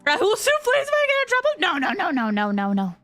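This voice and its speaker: A woman hosting a game livestream, chatting casually with viewers in an expressive, excitable way and often repeating phrases for emphasis. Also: extremely high pitch, fast talker, faint music behind. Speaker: game streamer and host.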